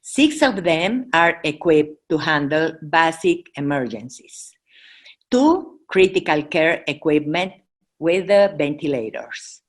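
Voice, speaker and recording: A woman with a Mexican accent, a native Spanish speaker, giving an informal presentation and halting as she searches for English words. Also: sits low, big pitch swings. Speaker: Red Cross presenter.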